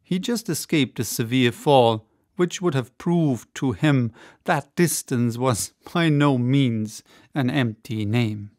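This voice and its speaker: A man's voice: mid-range voice, slow, a little mellow. A man in his forties reading a classic novel aloud as scripted narration, theatrically, into a close desk microphone. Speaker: audiobook reader.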